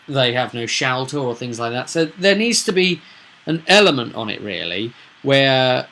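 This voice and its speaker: A British man talking casually as a game master explaining his session, halting at times and stressing key words. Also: mid-range voice, fairly bright tone. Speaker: tabletop game master, vlog host. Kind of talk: casual explanatory vlog about a roleplaying session. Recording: noticeable background noise, whirring fan.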